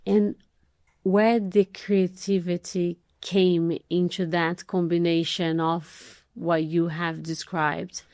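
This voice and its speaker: A woman hosts a podcast and interviews her guest with effusive enthusiasm. Her voice is smooth and resonant, set a little low. It is recorded dry and close. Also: flat delivery, slow pace, darkish tone, dry recording. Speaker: podcast host. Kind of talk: interview conversation.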